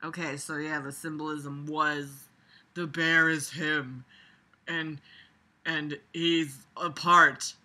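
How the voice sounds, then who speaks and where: A strikingly bright, forceful voice at a middling pitch reads a novel aloud and keeps interrupting it with commentary. The delivery is casual, blunt and sarcastic, full of exasperation and swearing. It moves between muttered asides and loud, emphatic outbursts. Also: flat delivery, slow. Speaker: reader reviewing a book aloud.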